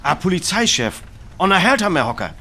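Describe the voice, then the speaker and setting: An actor playing an alarmed radio reporter in a North Frisian radio play, giving an eyewitness account with a North Frisian accent. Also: male voice, high-pitched, big pitch swings, brisk, bright, forceful tone, heavily acted. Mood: tense watching. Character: alarmed male radio reporter describing an unfolding alien attack.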